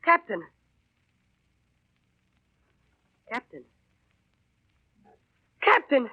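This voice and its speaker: Timid fourteen-year-old boy, high pitch, urgent and polite, old tinny radio drama sound. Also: huge pitch swings, slow, sharp tone, heavily acted. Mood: alarmed. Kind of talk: 1930s radio drama acting. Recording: tinny, band-limited 1930s radio recording.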